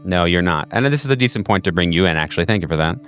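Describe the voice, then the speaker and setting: Male game master, low voice, rambling delivery, faint music bed. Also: lively intonation, rapid-fire, a bit bright. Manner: rambling, lots of fillers and restarts. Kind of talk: narrating a tabletop game.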